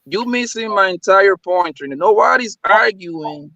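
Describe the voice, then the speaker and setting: A man from Colombia calling in from his car, deliberately spelling out his argument in a casual debate. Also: high voice, lively intonation, dull audio, crowd noise. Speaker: caller in a live chat. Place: car, driving. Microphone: phone.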